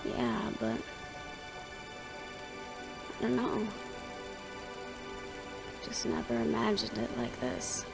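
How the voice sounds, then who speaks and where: A woman's voice: fairly high pitch, big pitch swings, takes it slowly, vocal fry, long pauses, exaggerated voice. A woman playing a guarded, stressed young woman in a fantasy audio roleplay, speaking conversationally and with some hesitation. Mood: awed, uneasy. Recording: music behind the voice.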